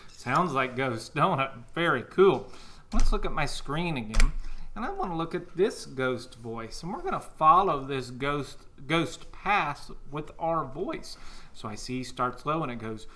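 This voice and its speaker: A male music teacher with a bright, bouncy voice that swoops up and down as he coaxes children to join in. He sounds upbeat and encouraging, with light background noise. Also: on the high side, very animated, unhurried. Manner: upbeat, encouraging, talks to kids at home.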